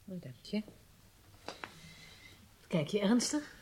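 Mellow, attentive female secretary. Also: mid-range voice, big pitch swings, slow pace, a little hiss or hum. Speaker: secretary taking dictation. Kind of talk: radio drama acting.

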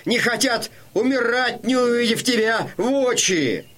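Very high-pitched shouting Cossack warrior. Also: male voice, lively intonation, measured pace, full character voice. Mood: solemn urgency. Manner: shouting, declamatory. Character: alarmed Cossack warrior reporting to his chieftain in battle. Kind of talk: dramatic audio drama acting. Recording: loud music under the voice. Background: loud music.

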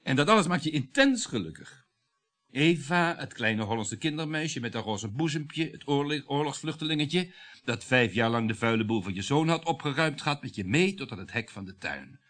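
An actor playing a bitter, sardonic French bourgeois husband in a radio play, declaiming with the odd halting hesitation. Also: male voice, medium pitch, animated, a bit bright, natural voice. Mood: bitter, accusing.

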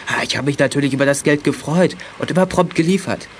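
A man's voice: on the high side, fast talker, slight background noise, lightly acted. A young man acting a shy, earnest teenage boy in a children's audio drama, speaking hesitantly. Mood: defensive. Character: shy, flustered teenage boy confessing and pleading innocence.

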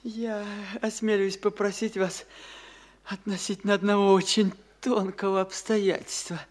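Brief: a timid provincial landowner, highish voice, halting and servile. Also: male voice, little pitch movement. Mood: meek, fawning. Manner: meek, hesitant, servile. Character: timid small-town male landowner, meek and fussing. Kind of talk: classic radio theatre acting.

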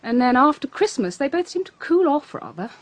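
A woman's voice: high-pitched, big pitch swings, a little fast, dry recording. An actress playing a languid, brittle English society woman in a radio drama, offhand and drawling. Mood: guarded gossip.

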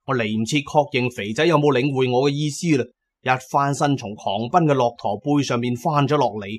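A man's voice: medium pitch, darkish tone, slightly rough. A man narrating a first-person adventure story as a dramatic audiobook storyteller.

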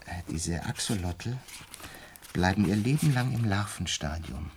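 A man's voice: low-pitched, lively intonation, a little slow, noisy background. An actor playing a pensive, resigned man in a radio play, recounting and explaining things in a hesitant, musing way. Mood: earnest explaining. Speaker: narrator and lead character of a radio play. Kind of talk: intimate reflective radio drama narration and dialogue.

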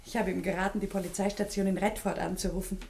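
A woman's voice: mid-range voice, little pitch movement, fast, vocal fry, darkish tone, a little hiss or hum, lightly acted. An actress playing a professor's grown daughter in a radio play, a young woman with a chatty, polite manner. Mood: amused, confiding. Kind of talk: German radio play acting.

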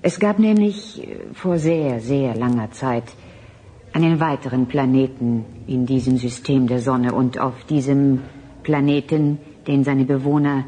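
A woman playing a calm, solemn transhuman emissary in a German radio drama, speaking in deliberate, formal, oddly stilted phrases. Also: extremely low pitch, breathy edge, exaggerated voice.

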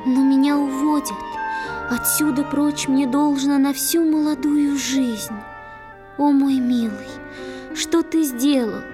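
A woman playing a gentle, loving young water-spirit wife who pleads tenderly in drawn-out theatrical verse for a children's audio drama. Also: sits high, fairly even intonation. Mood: grieving. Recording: studio drama over soft music.

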